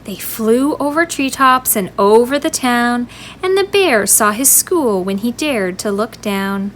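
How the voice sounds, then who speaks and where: Female children's storytime reader, high voice, unhurried and very animated, friendly sing-song delivery, clear background noise. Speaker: children's library storytime host. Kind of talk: expressive read-aloud of a rhyming picture book for young children.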